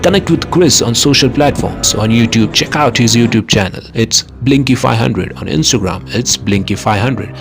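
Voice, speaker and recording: A man's voice: mid-range voice, moderate pace, few pauses. A man hosting a podcast, reading scripted outro credits and appeals to listeners. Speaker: podcast host. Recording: clear music bed behind voice.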